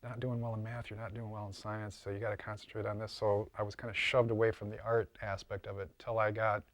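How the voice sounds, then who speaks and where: Low-voiced older man, even delivery. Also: dry recording. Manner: halting, repeats words. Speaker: interviewed prop and mask maker. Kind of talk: casual interview explanation.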